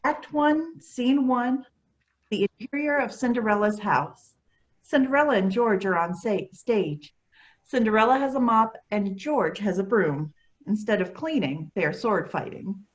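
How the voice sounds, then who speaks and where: A woman's voice: medium pitch, animated, unhurried, rough recording. A woman narrating, reading out the stage directions of a children's play.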